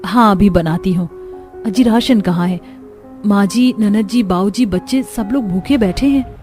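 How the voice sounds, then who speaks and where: A woman's voice: mid-range voice, measured pace, darkish tone, soft background music, natural voice. A woman playing a poor, worried daughter-in-law in a cartoon family story, speaking in a plaintive, homely manner. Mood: concerned.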